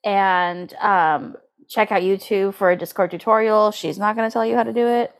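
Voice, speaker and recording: A woman's voice: mid-range voice, steady tone, normal speed. A woman co-hosting a podcast in casual comedic chat, talking informally and incredulously, sometimes reading aloud with drama. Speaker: podcast co-host.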